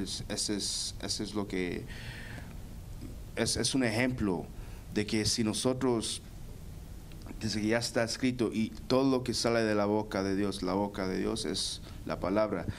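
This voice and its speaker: A young Guatemalan man with a Guatemalan Spanish accent, giving a halting personal faith testimony full of restarts. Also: on the low side, a little hiss or hum. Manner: hesitant, many restarts and repeated words.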